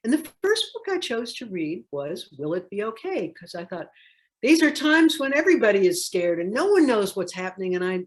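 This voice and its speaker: A woman's voice: mid-range voice, big pitch swings, normal speed. An older woman, a children's author, talking personally in a reflective, conversational way and leaning on key words for emphasis. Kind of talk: personal talk introducing a read-aloud.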